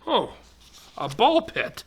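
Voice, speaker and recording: A man's voice: very high-pitched, wildly swooping pitch, slow, slightly rough. A man reading a rhyming picture book aloud to children, expressively, with exclamations and chatty asides. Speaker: volunteer storybook reader for children.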